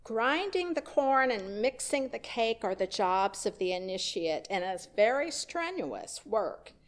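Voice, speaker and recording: A woman minister delivering a sermon, slow and deliberate, with emphatic rising questions. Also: fairly high pitch, big pitch swings.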